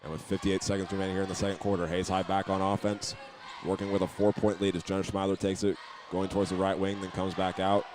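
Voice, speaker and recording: An American man does live basketball commentary at a very quick pace. His voice is fairly low and stays quite flat in pitch as he tracks the game. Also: noisy background. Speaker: play-by-play sports announcer. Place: indoor auditorium at a basketball game.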